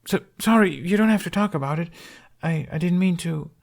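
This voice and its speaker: A man voicing a brave, sleepy little boy who speaks high and eager. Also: fairly quick, creaky. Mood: drowsy, apologetic.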